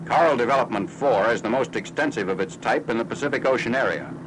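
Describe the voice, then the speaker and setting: An American man reading scripted 1940s military newsreel narration in a formal, factual, measured announcer style. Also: medium pitch. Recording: noisy 1940s newsreel film soundtrack.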